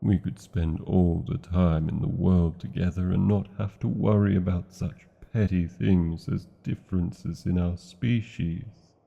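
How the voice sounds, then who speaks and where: A British man playing an old, tender male dragon in a fantasy ASMR roleplay, speaking slowly and formally in a husky, gravelly voice. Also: fairly even intonation, lo-fi sound, heavily acted. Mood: curious, hopeful. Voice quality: husky, gravelly, dark low voice. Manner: slow, deliberate, formal phrasing.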